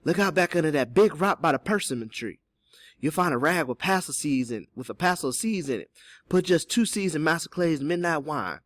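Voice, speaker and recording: Guy doing a stubborn southern mama in an audiobook, wary and protective, pitched way up, very animated. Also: fairly quick, no room sound. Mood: scheming. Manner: emphatic Southern dialect, strained. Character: enslaved mother, wary, protective, stubborn and anguished.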